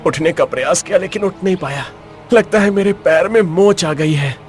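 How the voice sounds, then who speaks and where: A man's voice: high-pitched, normal speed, soft background music, close to an everyday voice. A man voicing an earnest, sorrowful young prince in a cartoon story, speaking formally and with feeling. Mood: grateful, earnest. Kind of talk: dramatized cartoon story dialogue.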